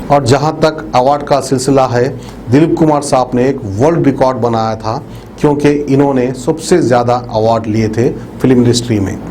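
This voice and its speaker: A man's voice: medium pitch, dark tone, a little hiss or hum, low-quality recording. A man presenting a narrated news tribute to viewers, explaining things conversationally and repeating himself with habitual filler phrases. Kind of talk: narrated obituary tribute report addressed to viewers.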